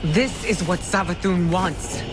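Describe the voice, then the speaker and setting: An actress plays a grave woman in a video game drama. Her voice sits low, and she speaks with wary restraint. Music plays clearly behind her. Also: a little slow, lightly acted.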